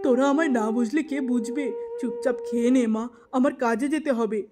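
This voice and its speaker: A woman's voice: fairly high pitch, normal speed, over music, natural voice. A woman voicing a poor, weary middle-aged father in a cartoon moral tale, pleading and plaintive. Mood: hurt.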